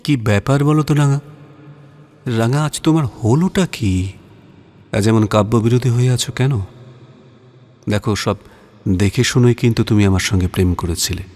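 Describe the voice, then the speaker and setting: A man playing a romantic, playful poet in an audio drama, with lyrical, expressive phrasing. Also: medium pitch, natural voice. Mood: playful, coaxing. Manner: lyrical, drawn-out phrasing, teasing. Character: romantic male poet, tender, playful, mock-aggrieved lover. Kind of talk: Bengali audio drama with poetry recitation. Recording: music under the voice. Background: background music.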